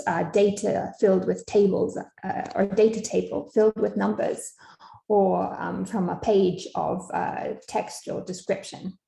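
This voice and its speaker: A young Scottish woman giving an online academic lecture over a video call, measured, with frequent ums. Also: medium pitch, gravelly, dark tone, roomy sound, low-quality recording. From Scotland.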